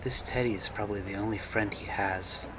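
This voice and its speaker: A man voicing a friendly, kindly young woman behind a fast-food counter in a story reading, sweet and helpful. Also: lowish voice, fairly even intonation, noisy background, natural voice. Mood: hushed, sympathetic.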